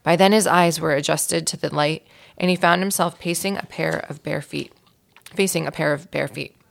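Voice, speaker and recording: A woman reading a children's story aloud in an expressive storytelling style, with a creaky voice. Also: sits low, moderate pace. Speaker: volunteer reader of a children's book.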